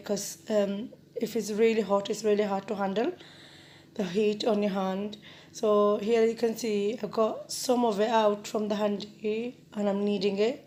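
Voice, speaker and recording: Casting: young woman cooking vlogger, mellow and flat-toned, casual chatter. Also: mid-range voice, slight background noise. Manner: relaxed, chatty, many "like" and "basically" fillers. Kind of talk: casual cooking demonstration narration.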